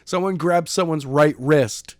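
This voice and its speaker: Guy with a highish voice, dry close recording, sarcastic podcast chat. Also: measured pace, a little mellow, no echo. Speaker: podcast co-host. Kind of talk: casual discussion of a novel chapter.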